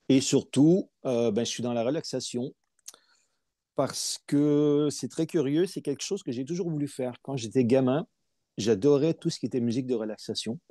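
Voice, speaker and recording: A man's voice: mid-range voice, dark tone, no echo, studio quality. A middle-aged man from Montreal, chatting as a guest musician, hesitant with many fillers and restarts. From Montreal, Canada. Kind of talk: casual interview chat.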